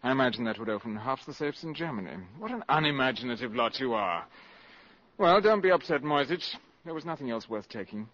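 An actor playing a suave, cynical valet turned spy in a radio drama, with a polished, mocking manner. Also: male voice, medium pitch, expressive, brisk, fairly bright tone. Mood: mocking, assured. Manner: polished, mocking, cool and superior. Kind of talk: 1950s radio drama acting. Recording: muffled old radio broadcast recording.

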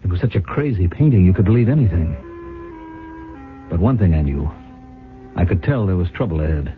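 A man's voice: sits low, animated, fairly quick, faint music behind. An actor playing a glib, scheming, defensive businessman husband in a theatrical 1970s radio drama style. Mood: rueful, confiding. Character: smooth-talking, scheming husband running a failing company. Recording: tinny, noisy old radio broadcast.

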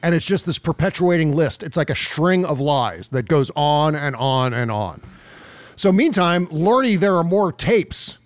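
Male American political podcaster, a touch high in pitch, conversational and emphatic, recorded very dry. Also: expressive, unhurried, no room sound. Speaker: podcast host. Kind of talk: casual political commentary.

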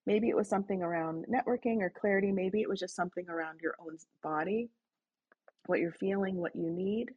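Soft-spoken woman guiding a breathing practice. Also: mid-range voice, husky, dull audio. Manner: soft, slow, inviting. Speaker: host leading a guided breathing session. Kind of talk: guided breathing and reflection. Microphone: online call.

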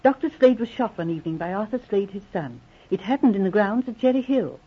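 A woman's voice: medium pitch, animated, quick pace, slightly rough. A woman playing a gossipy, teasing sister and colonel's wife, exclamatory, in 1940s radio drama style. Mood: gossipy, eager. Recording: muffled old radio recording.

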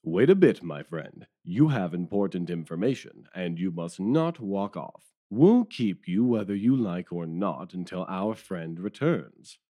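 Man playing a deep, animated, hearty police detective. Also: a little slow, few pauses. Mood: firm. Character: hearty, blustering police detective, bossy but agreeable. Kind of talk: audio drama acting.

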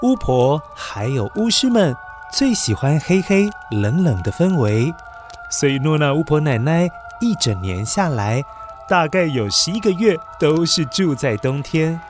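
抑扬顿挫的男性故事讲述者. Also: male voice, on the high side, sing-song, unhurried. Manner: gentle, coaxing, exclamatory storyteller. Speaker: storyteller. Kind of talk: children's story narration. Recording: background music under narration.

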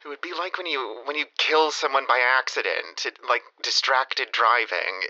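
An actor playing a rambling, self-pitying lovesick ex-boyfriend in his thirties, halting and confessional, in an audio comedy drama. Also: medium pitch, animated, bright, forceful tone, dull audio. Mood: regretful, pleading. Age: 30s.

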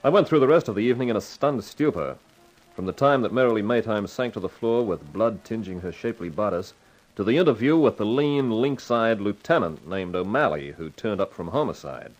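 An actor playing a wisecracking, hard-boiled advertising man who narrates his own story in 1950s radio noir style, with a husky voice. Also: on the low side, animated, fairly quick, poor audio. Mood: grim narration.

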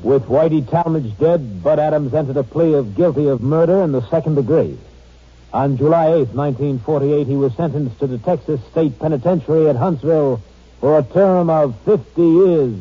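A man with a slightly high voice narrates formally in vintage radio style. The recording is dull, with faint music behind. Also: normal speed.

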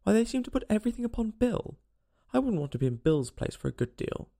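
Storybook girl, read by a man: wistful, puzzled, talking to herself, very animated, creaky. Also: high voice, fast talker, voice pushed up, full character voice. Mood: puzzled, determined. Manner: wistful, self-chiding.

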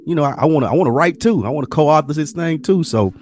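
A man's voice: on the high side, lively intonation, fast, darkish tone, a little hiss or hum. A man hosting a podcast in casual, slangy conversational talk. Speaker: podcast host. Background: music.

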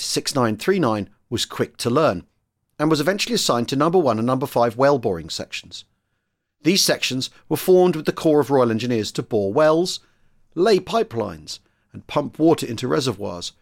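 Expressive male narrator, very lively pitch. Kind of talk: scripted documentary storytelling narration.

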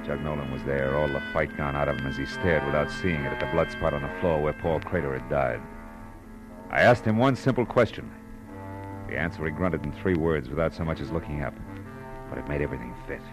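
An actor with an American accent playing a shrewd, clipped, wry private detective in 1940s radio style. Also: male voice, very deep voice, fairly quick, rough recording. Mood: grim, narrating. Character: hard-boiled male private detective, brisk, shrewd and sure. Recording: old radio drama recording, music behind.

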